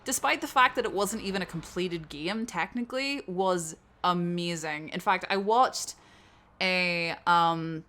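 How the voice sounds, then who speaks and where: Clear, bright-voiced young Northern Irish woman. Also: lowish voice, measured pace. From Northern Ireland. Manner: candid, blunt, informal with swearing. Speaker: audio roleplay creator answering subscriber questions. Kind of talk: casual unscripted Q&A chat.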